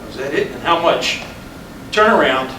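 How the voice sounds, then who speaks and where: A man's voice: on the high side, lively intonation, moderate pace, sharp tone, a little hiss or hum. Guy playing a grumbly tired middle aged husband in a play reading, kinda far from the mic in an echoey room. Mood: wry. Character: irritated, weary middle-aged husband, wry, plainspoken policeman. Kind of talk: staged reading of a stage play. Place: indoor live reading at a college.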